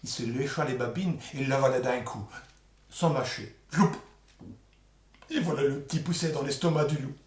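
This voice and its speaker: A man's voice: mid-range voice, normal speed, long pauses. A man telling a folk tale aloud in a theatrical, colloquial oral storytelling style. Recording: echoey room.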